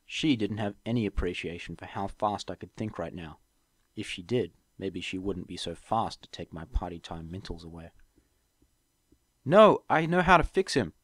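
A man with a fairly low voice narrates a first-person audiobook. He reads from a script as an amateur narrator, and the sound is dry. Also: fairly even intonation, moderate pace, slightly rough, dry recording.

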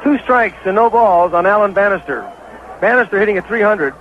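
An American man calling baseball play-by-play on 1970s radio as an excitable, partisan announcer. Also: high-pitched, a little fast. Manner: excitable, partisan home-team caller. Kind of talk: 1970s live radio sports commentary. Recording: dull, noisy vintage radio broadcast.

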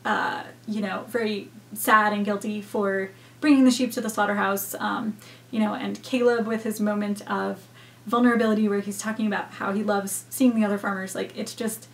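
Brief: young woman, home reaction host, hesitant reflective chat, mid pitch, webcam at desk. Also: steady tone, normal speed. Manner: thoughtful, hesitant, many fillers. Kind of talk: casual reaction commentary. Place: home room with bookshelves.

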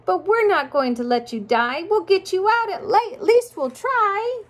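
A woman's voice: very high-pitched, expressive, moderate pace, voice pushed up. A woman voicing a kind young boy who owns a dog, in a storybook reading. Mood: pleading.

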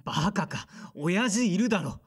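A man's voice: sits high, lively intonation, moderate pace, husky. Actor as a brusque, sullen man in his thirties. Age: 30s. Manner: casual, brusque, introspective. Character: sullen, blunt son of a weaving family, mid-thirties. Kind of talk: audio drama narration and dialogue.

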